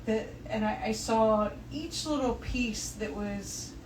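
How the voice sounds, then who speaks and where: A woman guest on a podcast, speaking reflectively in casual spiritual chat with halting restarts. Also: fairly high pitch. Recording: roomy, distant mic with clear noise.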